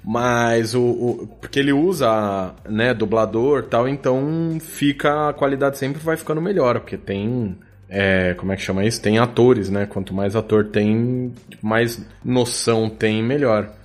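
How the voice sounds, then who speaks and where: A man's voice: medium pitch, fairly even intonation, measured pace, few pauses. A man with a Brazilian Portuguese accent in casual podcast chat, speaking informally as a guest who produces audio dramas, in a jokey, sweary way.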